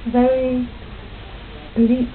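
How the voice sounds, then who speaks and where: A young woman chatting casually and self-deprecatingly in her living room, talking quietly into a built-in webcam mic. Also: completely flat delivery, extremely slow. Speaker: home video maker. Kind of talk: casual home video chat. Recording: tinny low-quality webcam audio with faint hiss.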